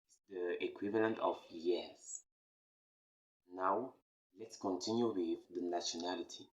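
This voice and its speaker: A man from Cameroon hosting a beginner French lesson, repeating key phrases slowly and emphatically. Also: sits low, fairly even intonation, rough recording. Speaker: French teacher, channel host. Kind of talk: slow, repetitive beginner language lesson.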